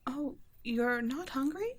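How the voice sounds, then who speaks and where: A woman playing a gentle, protective vampire caretaker in an intimate ASMR roleplay, speaking softly and breathily, often nearly whispering. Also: high-pitched, animated, slow pace, a little hiss or hum. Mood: tender, concerned. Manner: soft, breathy, tender, often dropping to a whisper.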